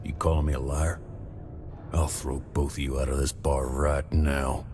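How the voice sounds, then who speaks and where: Very deep gruff old barman. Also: little pitch movement, moderate pace, over music. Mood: indignant. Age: older. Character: gruff veteran barman in a Martian mining town, guarded. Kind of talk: video game voice acting.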